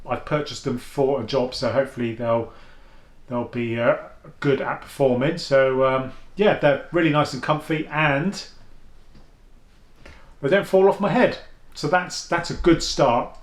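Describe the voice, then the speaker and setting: Deep-voiced man, echoey room. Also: measured pace. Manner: chatty, thinking aloud, with frequent restarts and fillers. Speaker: headphone reviewer. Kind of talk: casual product review vlog. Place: at home, indoors.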